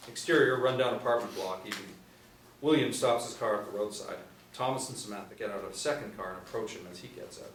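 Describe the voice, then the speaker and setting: Low-pitched man narrating in an echoey room. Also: fast. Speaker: stage directions narrator. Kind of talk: scripted narration at a table read. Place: small indoor room, seated reading. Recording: echoey room, distant mic, faint noise.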